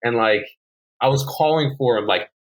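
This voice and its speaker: A man's voice: mid-range voice, moderate pace, a little hiss or hum, low-quality recording. A young man in his twenties from New Jersey, a guest falconer telling stories in casual podcast chat, full of likes and ums. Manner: rambling storyteller, many likes and ums.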